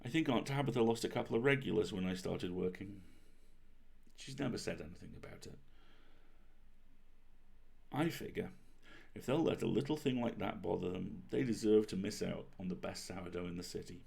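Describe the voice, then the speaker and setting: A man reading as a young girl baker and wizard, chatty and self-deprecating, in an expressive confiding storybook manner. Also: low-pitched, moderate pace, gravelly, slightly rough, heavily acted. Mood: musing, self-deprecating.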